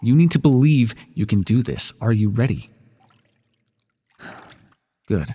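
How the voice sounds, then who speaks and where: A man's voice: mid-range voice, big pitch swings, a little slow, mellow, breathy edge. A man playing a commanding, teasing villain who mentors a young hero in an intimate ASMR roleplay. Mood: calm, encouraging. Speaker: voice actor. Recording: long reverb with trickling water. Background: pool water trickling.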